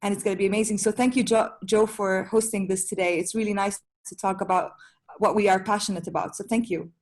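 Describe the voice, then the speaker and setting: A woman's voice: medium pitch, steady tone, quick pace, darkish tone. A woman doula talking passionately and at length on a panel, with many restarts. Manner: passionate, rambling with fillers and restarts. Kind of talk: casual panel chat.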